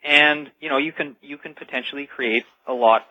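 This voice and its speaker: A man's voice: a little high, moderate pace, no echo. A man speaking as an expert guest in a radio interview, explaining in a conversational way. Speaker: talk radio guest, futurist. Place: radio call-in show. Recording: dull lo-fi radio broadcast.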